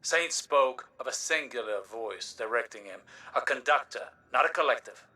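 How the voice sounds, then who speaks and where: A man's voice: medium pitch, animated, moderate pace, bright, forceful tone, distant mic. A man voicing a grave, intense older scholar in a video game, formal and emphatic.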